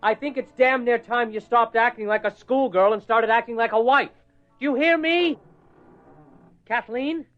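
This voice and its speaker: An exasperated, high-pitched husband. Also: male voice, normal speed, soft background music. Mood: exasperated, angry. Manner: blunt, swearing, argumentative. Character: exasperated, short-tempered husband, worried about his obsessed wife. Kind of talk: dramatic radio horror play acting. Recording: dull lo-fi radio recording.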